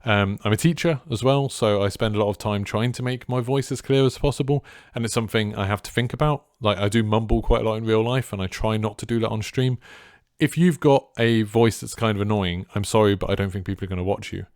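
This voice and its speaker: Deep-voiced, laid-back man. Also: brisk, a little mellow, no room sound, studio quality. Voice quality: deep, clear voice. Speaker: streamer and content creator giving advice. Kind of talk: casual advice talk to viewers.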